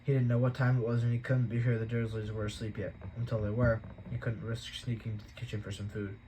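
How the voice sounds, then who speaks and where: A man reading a novel aloud at a steady pace, with an occasional stumble he corrects. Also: fairly low pitch, quick pace, slight background noise, poor audio. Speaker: audiobook reader.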